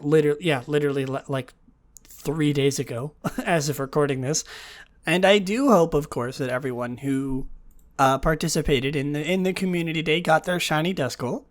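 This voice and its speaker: A young man hosting a podcast, talking through game news in a rambling, chatty way while sick, so his voice sounds raspy and groggy. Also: fairly high pitch. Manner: rambling, chatty, self-correcting. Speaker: podcast host. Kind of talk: casual chat about game news.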